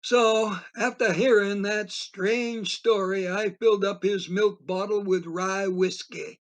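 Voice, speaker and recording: Man as a high-voiced, folksy storyteller. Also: fairly even intonation, slow. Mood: bemused. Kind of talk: audio play first-person narration.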